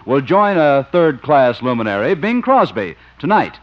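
A man's voice: mid-range voice, very animated, a little slow. An American man announcing on 1950s network radio in a formal, polished, emphatic scripted style. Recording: dull vintage radio broadcast recording.

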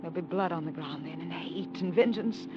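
A woman's voice: fairly low pitch, fairly quick, close to an everyday voice. An actress playing an anxious, tender woman in love, in earnest, theatrical mid-century radio drama style. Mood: grave, pleading. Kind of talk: 1940s-50s radio drama acting. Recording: muffled, noisy old radio recording.

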